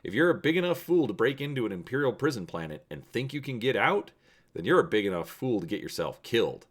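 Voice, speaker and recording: A man's voice: mid-range voice, big pitch swings, fast talker, slightly rough. A man voicing a stubborn, cheerful old prospector with blunt, folksy speech in a dramatic reading of a space adventure. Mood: blunt, defiant.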